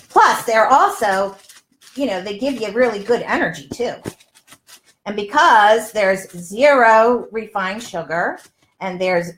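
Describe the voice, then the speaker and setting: A woman's voice: medium pitch, slight background noise. An older woman chatting casually and exclaiming to viewers as she hosts a live cooking demonstration from her home kitchen. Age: older, around 60s. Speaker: health coach and cooking show host. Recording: roomy, echoey home kitchen sound.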